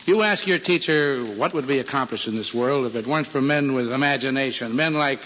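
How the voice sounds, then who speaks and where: A man's voice: mid-range voice, bright, forceful tone. Folksy american cop dad, fatherly and teasing, dull echoey old radio recording. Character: kindly, teasing widowed policeman father. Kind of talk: old-time radio drama acting. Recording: dull, hall-like vintage radio recording, noisy.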